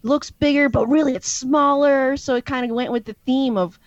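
A woman's voice: high voice, fairly even intonation, normal speed, dry recording. A woman tells stories as a podcast guest, drifting chattily from thought to thought. Her voice comes through dull and lo-fi, with a dry sound. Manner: chatty, meandering. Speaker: musician guest. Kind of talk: casual storytelling.

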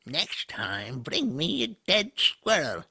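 A man voicing a wise old bird, a terse and deadpan guardian in a fantasy audiodrama. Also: on the high side, slow, creaky, slightly rough, heavily acted. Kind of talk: audiodrama character acting.

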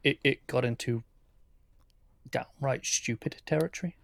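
A man co-hosting a film podcast who is ill and losing his voice, hoarse and sometimes dropping to a whisper. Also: mid-range voice, measured pace, fairly bright tone. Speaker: podcast co-host. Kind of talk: casual chat.

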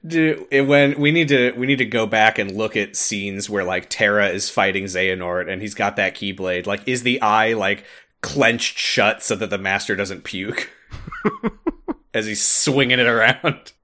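A man's voice: lowish voice, measured pace, pushed and bright. A man hosting a podcast, casually retelling a game story with informal commentary and frequent laughter. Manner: laughs often, informal, mildly sweary. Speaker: podcast host.

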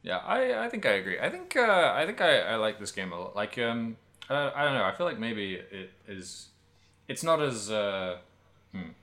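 Low-pitched man, bright casual podcast voice. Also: sing-song, normal speed. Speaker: podcast co-host. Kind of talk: casual video game discussion podcast.

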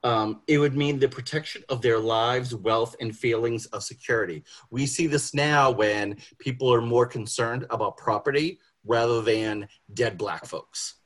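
Man, husky voice, panel speaker, deliberate emphatic phrasing, prepared talk. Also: medium pitch, fairly bright tone. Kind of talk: prepared talk on social justice.

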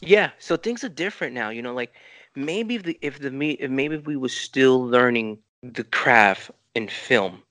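A man's voice: mid-range voice, expressive, normal speed, bright, forceful tone, no room sound. A middle-aged man with a New York accent, a filmmaker rambling through interview answers with many restarts and "you know" fillers. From New York City. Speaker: filmmaker guest.

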